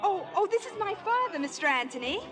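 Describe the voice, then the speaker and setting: Girlish excitable daughter, high swooping voice, old radio drama. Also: a little fast. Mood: smitten, excited. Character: excitable, girlish young daughter, romantically smitten. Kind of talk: 1950s radio drama acting. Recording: vintage 1950s radio broadcast with music.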